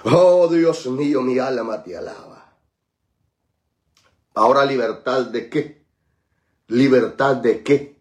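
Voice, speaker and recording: A man preaching a sermon, calling on the congregation to repeat after him and slowing right down to stress scripture. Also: medium pitch, a little mellow. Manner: preaching with call-and-response, dramatic slowed emphasis on scripture. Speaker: pastor.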